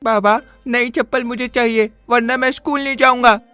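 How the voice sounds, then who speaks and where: A man's voice: very high voice, fairly even intonation, normal speed, bright, forceful tone, clean tone, no echo, soft background music, pitched above the performer's own voice. A man voicing a poor but proud boy in a cartoon story who speaks earnestly and with determination. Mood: pleading.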